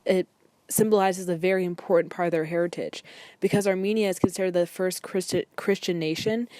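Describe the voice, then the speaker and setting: A young woman student presenting her project in a relaxed spoken way, often saying "kind of" and "like". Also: lowish voice, no echo. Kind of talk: casual spoken report.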